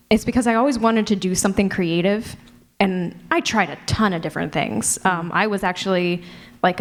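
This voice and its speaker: A woman talking casually and candidly as a podcast maker on a panel, with a self-deprecating manner. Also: fairly low pitch. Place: convention panel room.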